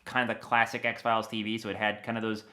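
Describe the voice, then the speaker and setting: Bright-voiced man chatting as interview guest. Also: lowish voice, completely flat delivery, quick pace. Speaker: podcast guest, audio dramatist. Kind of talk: enthusiastic conversational interview.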